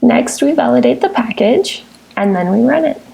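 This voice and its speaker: Husky, creaky woman narrating a software tutorial. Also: fairly high pitch, animated, moderate pace, low-quality recording. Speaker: software tutorial presenter, technical support engineer. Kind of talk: scripted step-by-step screencast narration.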